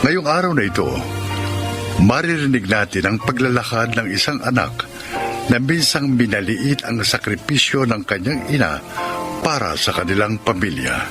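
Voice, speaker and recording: A male announcer reads the credits and introduces a radio drama in a formal manner. His pitch moves in a lively way as he speaks. Music plays clearly behind his voice. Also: moderate pace. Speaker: announcer and actor.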